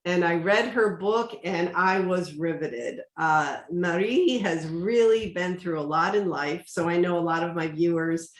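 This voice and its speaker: A woman's voice: fairly low pitch, unhurried, few pauses, roomy sound. A woman hosting an online interview as an energy coach, encouraging her guest and recapping what she says.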